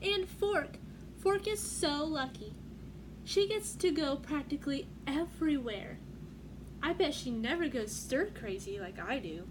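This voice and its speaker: A woman's voice: sits high, lively intonation, unhurried. A woman voicing a sad, envious little boy spoon in a picture book, complaining wistfully. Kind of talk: children's picture book read-aloud. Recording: clearly noisy room background.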